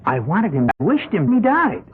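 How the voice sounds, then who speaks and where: A man's voice: high-pitched, huge pitch swings, a little slow, exaggerated voice. A jittery guilty young man in an old radio drama, stammery, tinny with a bit of hiss. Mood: nervous, agitated. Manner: hesitant, polite, agitated stammering. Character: meek, nervous, deferential, guilt-ridden young man. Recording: tinny old radio broadcast, faint noise.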